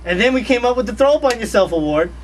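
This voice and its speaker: High-voiced man chatting loosely on radio. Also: fast, recorded from a distance, rough recording. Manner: laid-back, animated, slangy, repeats phrases. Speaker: radio host guest. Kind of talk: casual radio interview chat. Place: radio studio.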